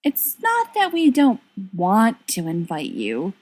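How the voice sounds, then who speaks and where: A woman's voice: mid-range voice, wildly swooping pitch, takes it slowly, a little mellow, exaggerated voice. A woman reading a storybook part as a weary, wry young woman, coaxing and pleading.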